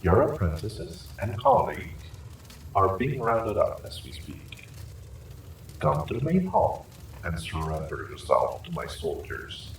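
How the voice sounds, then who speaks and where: A man's voice: low voice, big pitch swings, unhurried, gravelly, a little hiss or hum, heavily acted, rough recording. A man playing an evil sorcerer in an audio roleplay, smug and silkily menacing, with a mock-polite, gloating delivery. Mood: polite menace. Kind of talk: dramatic villain monologue, audio roleplay.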